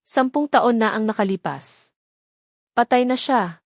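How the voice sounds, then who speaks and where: A woman's voice: a little high, unhurried, dry recording, clean studio sound. A woman voicing an anxious, protective mother with a Filipino accent in a dramatic story. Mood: shocked disbelief. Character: anxious, protective single mother of a young girl. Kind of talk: dramatic storybook narration dialogue.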